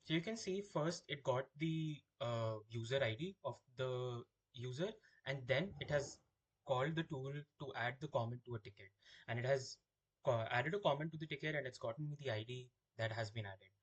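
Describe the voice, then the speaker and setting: A man with a slightly husky voice giving a software demo, explaining things step by step as a product demonstrator. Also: mid-range voice, moderate pace.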